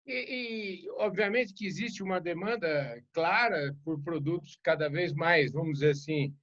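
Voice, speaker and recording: A man's voice: fairly high pitch, measured pace, a bit bright. A man hosting a podcast interview in a casual, chatty and jokey way. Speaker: podcast host. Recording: dull lo-fi sound.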